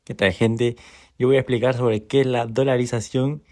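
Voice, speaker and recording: A man co-hosting a podcast, explaining a topic in a measured way with occasional fillers. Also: mid-range voice, fairly even intonation, warm tone. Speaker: podcast co-host. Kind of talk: informative explainer.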